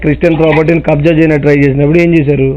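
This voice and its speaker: Quick, defiant man, muffled call. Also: on the high side, level tone, a loud crowd. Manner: defiant, questioning. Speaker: political video maker receiving a call. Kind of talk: phone argument. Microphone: phone call. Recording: dull phone line, faint noise.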